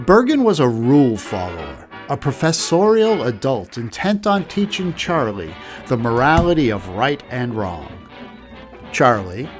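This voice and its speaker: Man narrating a documentary over music. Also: mid-range voice. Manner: even, informative storytelling. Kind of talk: scripted narration.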